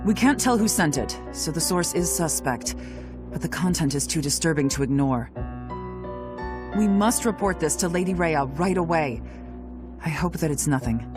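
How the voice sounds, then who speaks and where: A woman's voice: deep voice, animated, normal speed. A woman playing an earnest, dutiful knight in a video game, serious and formal. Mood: concerned. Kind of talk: scripted video game voice acting. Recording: clear music behind the voice. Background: game soundtrack music.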